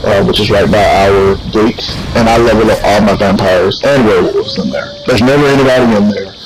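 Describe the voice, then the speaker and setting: A man with a low, gravelly voice hosts a relaxed gaming workshop, chatting while he plays. He talks in halting bursts full of fillers and restarts, with clear music running in the background. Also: poor audio. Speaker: workshop host. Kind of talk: casual gaming chat while playing.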